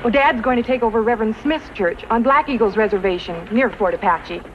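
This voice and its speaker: A woman's voice: on the high side, brisk, lightly acted. An actress playing a cheerful, polite young woman, a reverend's daughter, in a 1950s radio western. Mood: bright, friendly. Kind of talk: 1950s radio drama acting. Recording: muffled, hissy old radio recording.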